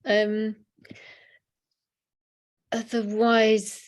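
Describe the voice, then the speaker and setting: A woman moderating a webinar, polite and a little halting as she takes questions. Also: a little high, monotone. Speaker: webinar host.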